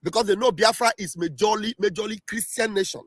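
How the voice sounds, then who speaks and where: A man's voice: high voice, fairly quick, no room sound, studio quality. Emphatic man hosting a livestream. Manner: emphatic, repeats himself often. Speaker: livestream host. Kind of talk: casual livestream commentary. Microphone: home livestream setup.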